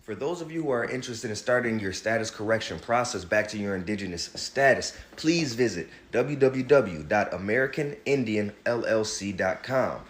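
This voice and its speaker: A man's voice: lowish voice, lively intonation, moderate pace, hardly any pauses. A man giving a casual social media monologue, slangy and preachy, calling his viewers y'all. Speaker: online commentator promoting a service.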